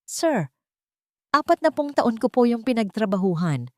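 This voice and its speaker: A woman voicing a humble elderly male construction foreman in an audio story, soft, respectful and pleading with a slight tremble. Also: on the high side, very animated, measured pace, dry recording, clean studio sound, pitched above the performer's own voice.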